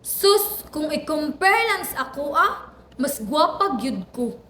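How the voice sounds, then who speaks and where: Echoey room, very high voice, woman playing playful lovestruck young girl, radio drama, teasing. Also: very animated, takes it slowly, a bit bright, full character voice. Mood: cheeky. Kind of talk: amateur radio drama acting. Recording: echoey room, faint background noise.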